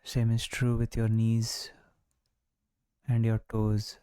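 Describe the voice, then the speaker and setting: A man leading a guided relaxation meditation, speaking calmly and soothingly, leaving long pauses between short phrases. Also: lowish voice, clear, resonant tone, no echo, clean studio sound. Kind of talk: guided body-scan meditation.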